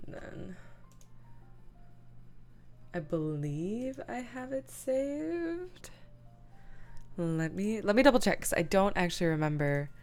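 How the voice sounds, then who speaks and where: A woman hosting a livestream, casually talking through her computer work and half muttering to herself, with soft music behind her. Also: medium pitch, sing-song, takes it slowly, pauses often. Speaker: livestream host. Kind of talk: casual livestream chat narrating image editing. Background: lo-fi music, computer keyboard typing.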